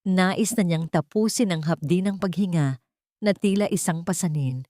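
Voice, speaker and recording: Female storyteller, low voice, solemn scripted narration, close dry sound. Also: moderate pace, mellow, no room sound.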